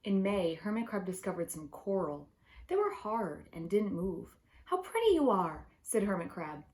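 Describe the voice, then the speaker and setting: A woman storyteller reading a children's picture book aloud, expressive and deliberate in her emphasis. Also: on the low side.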